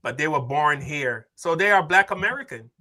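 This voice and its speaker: A man's voice: a little high, animated, normal speed, pushed and bright, rough recording. A man from Barbados hosting a podcast, talking casually and asking questions, repeating "why isn't that enough?". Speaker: podcast host. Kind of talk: casual opinionated discussion.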